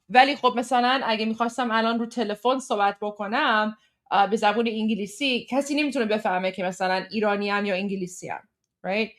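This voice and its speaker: Canadian woman, stream host, quick, higher voice, chatty filler-heavy talk. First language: Persian. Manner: chatty, many fillers like "like" and "you know". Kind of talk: casual chat with live chat viewers.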